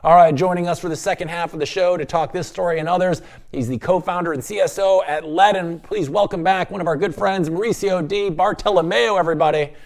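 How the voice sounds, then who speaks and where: A man's voice: sits high, brisk. A middle-aged man hosting a news show, reading stories and welcoming a guest with an upbeat manner. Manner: lively, upbeat delivery. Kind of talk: scripted news read and interview.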